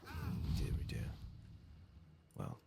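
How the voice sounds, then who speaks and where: A man doing a soft-spoken ASMR game playthrough, murmuring and often slipping into a whisper. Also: takes it slowly, heavy background noise. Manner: murmuring, drifting between soft speech and whisper. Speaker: ASMR gaming streamer.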